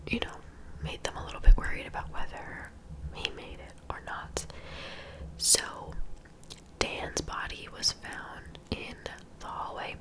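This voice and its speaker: A woman narrating true-crime ASMR in a soft, breathy whisper, with an informal, conversational manner. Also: rough recording.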